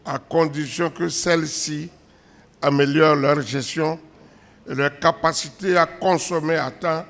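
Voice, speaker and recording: A man's voice: on the high side, fairly even intonation, unhurried, fairly bright tone. A man giving a spoken interview statement as an official. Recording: faint ambient background noise.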